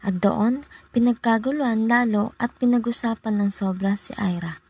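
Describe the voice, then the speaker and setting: Husky, higher-voiced young Filipino woman narrating. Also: moderate pace, darkish tone. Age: young adult. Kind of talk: scripted storytelling narration for a student radio drama.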